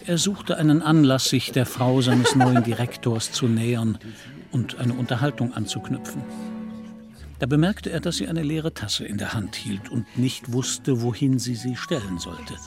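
Man as measured radio-play narrator over music. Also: mid-range voice, lightly acted. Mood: composed. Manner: measured storytelling. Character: composed literary narrator of a society novel.

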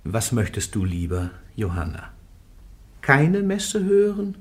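A stern churchly interrogator. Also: male voice, mid-range voice, sing-song, slow pace. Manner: stern, admonishing, lecturing. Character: stern male church interrogator, middle-aged. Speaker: interrogating judge. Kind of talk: radio play acting.

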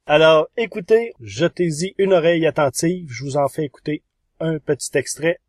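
Husky, fairly high-voiced man reviewing shows. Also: normal speed, no echo, clean studio sound. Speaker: podcast reviewer and contributor. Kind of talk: informal spoken review over music.

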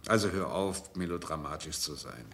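Casting: adulterous doctor, deep bright voice, dry sarcasm, stammers under strain; vintage radio drama with hiss. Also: male voice, moderate pace, creaky, exaggerated voice. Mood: agitated, cynical. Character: adulterous doctor, rattled, sardonic, irritable. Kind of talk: German radio crime drama acting.

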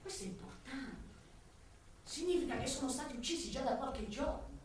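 An actress playing a sardonic, brooding police detective in a theatrical radio drama monologue. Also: female voice, high-pitched, lively intonation, moderate pace. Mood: grim, focused. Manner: dramatic, shifting from snappy retorts to pensive musing. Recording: distant, very echoey room, faint noise.

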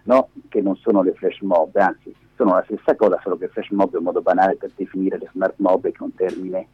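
A man's voice: sits low, steady tone, brisk, breathy edge. A man in middle age talking casually as a radio interview guest, explaining things emphatically and wandering into digressions over the phone. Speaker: interview guest, media activist and lab director. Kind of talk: casual spontaneous radio conversation. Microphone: phone held against face. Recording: muffled, echoey phone call on radio.

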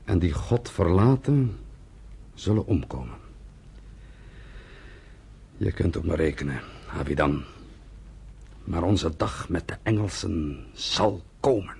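Husky, low-voiced militant rebel leader. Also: male voice, lively intonation, slow, pauses often, a little mellow, full character voice. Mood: solemn, prophetic. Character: fiery, militant, bitter underground fighter leader. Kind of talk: Dutch radio play acting.

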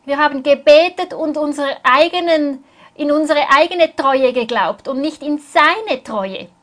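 High-voiced woman interpreting a sermon. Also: measured pace. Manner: earnest, follows the preacher closely.

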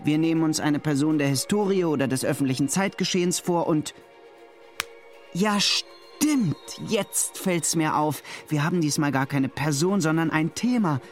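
A man's voice: a little high, normal speed, faint music behind, close to an everyday voice. An actor playing a male podcast co-host, an eager trivia buff chatting in a good-natured, earnest way. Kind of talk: scripted banter imitating casual podcast chat.

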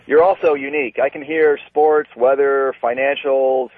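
A man's voice: medium pitch, little pitch movement, moderate pace. A man in his forties calling in to a radio show, effusive and full of praise. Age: 40s. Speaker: caller. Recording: phone line.

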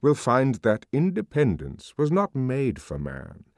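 A man's voice: mid-range voice, wildly swooping pitch, measured pace, a little mellow, dry recording, voice pushed up. A man voicing an urbane, wry male controller in an audiobook, lecturing genially with dry, teasing authority. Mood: solemn reading.

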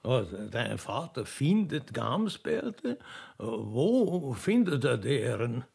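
A man playing a lecherous, flattering aristocrat with an Austrian accent and an oily, repetitive manner in a radio play. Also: mid-range voice, slow pace, voice pushed down, heavily acted. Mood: amused. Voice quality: gravelly, husky. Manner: oily, chuckling, repeating himself. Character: lecherous, flattering aristocratic gentleman courting a young girl.

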